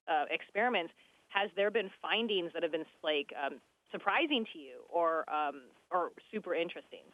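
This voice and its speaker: A woman podcast interviewer asking casual questions in an informal, halting way, over slightly muffled audio. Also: fairly low pitch, no room sound.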